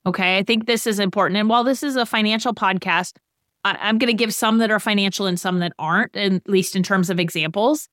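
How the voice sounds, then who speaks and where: Casting: woman, late thirties, podcast co-host, explains with examples, mid-pitched, dry close sound. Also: quick pace, a bit bright, no room sound. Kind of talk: casual chat, explaining ideas.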